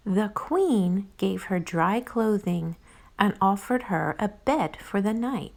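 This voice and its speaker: A woman reads a picture book aloud to small children. Her pace is slow and her pitch very lively, with an expressive, playful storyteller's delivery. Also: gravelly. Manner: expressive, addresses listeners playfully.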